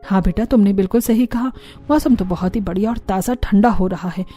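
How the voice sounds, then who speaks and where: A woman's voice: mid-range voice, brisk, warm tone, faint music behind, lightly acted. A woman voicing a bossy older mother-in-law who relishes her food, in a cartoon story, speaking in commands. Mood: relishing.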